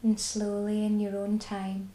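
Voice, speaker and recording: A woman with a Scottish accent guiding a body scan meditation, speaking slowly and soothingly. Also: mid-range voice, monotone, reverberant, poor audio. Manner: slow, soft and soothing. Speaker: mindfulness guide.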